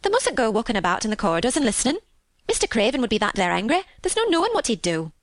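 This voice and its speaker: Woman as a fast, chatty housemaid. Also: on the high side, very animated, no echo, studio quality, pitched above the performer's own voice. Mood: uneasy. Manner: homely dialect, brisk and chatty. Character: chatty, good-hearted young country housemaid.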